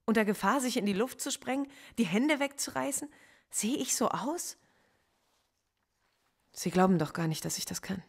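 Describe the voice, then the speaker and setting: An indignant professor. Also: female voice, fairly high pitch, expressive, moderate pace, gravelly, pauses often, husky, heavily acted. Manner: pleading, incredulous, insistent. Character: indignant woman philosophy professor under interrogation. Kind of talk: radio drama acting.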